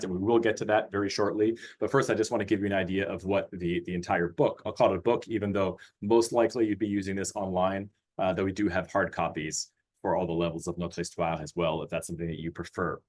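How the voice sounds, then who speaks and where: Man presenting a curriculum over a video call. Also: fairly low pitch. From Austria. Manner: hesitant, many fillers and restarts, friendly. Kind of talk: webinar presentation.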